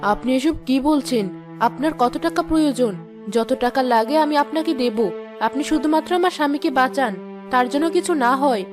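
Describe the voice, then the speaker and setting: Lady doing rich snooty cartoon madam, high voice, bossy and quick tempered, music playing under. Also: natural voice. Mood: anxious. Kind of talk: Bengali cartoon moral-story acting. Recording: music behind the voice.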